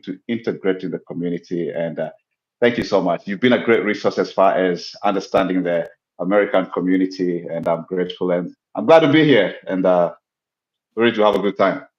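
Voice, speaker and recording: A man from Kenya, a guest explaining his ideas in a measured, reflective way over a remote call. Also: sits low, darkish tone. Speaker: guest, business owner. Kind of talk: casual interview talk. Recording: echoey, dull lo-fi audio.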